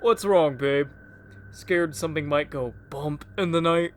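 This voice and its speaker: A man's voice: a little high, unhurried, slight background noise, lightly acted. A man playing a brash, cocky young daredevil who goads his friends in bro talk, in a cheesy horror audio drama. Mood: cocky, teasing. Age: young adult. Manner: bro-talking, goading, cocky.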